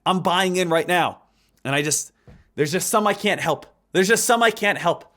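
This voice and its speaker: A man in his thirties teaching a live trading lesson, talking in an energetic, repetitive coaching style. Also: sits high, normal speed. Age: 30s. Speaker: trading instructor.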